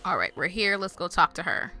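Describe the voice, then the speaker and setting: A woman's voice: on the low side, expressive, a little fast, over loud music. A woman gaming host giving casual, chatty, informal commentary to her viewers while she plays.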